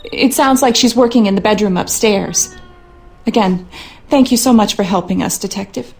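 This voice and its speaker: A woman's voice: mid-range voice, normal speed, vocal fry, over music, close to an everyday voice. An actress playing a worried, skeptical wife and mother, speaking earnestly and dramatically in a game monologue.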